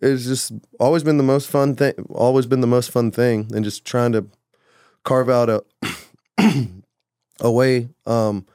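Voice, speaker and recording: Brief: laid-back male musician telling stories, meandering delivery, mid-pitched voice, dry close sound. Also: level tone, unhurried, a little mellow, smooth, no echo. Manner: rambling, loose, full of "like" and "you know". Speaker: musician guest. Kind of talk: casual conversation.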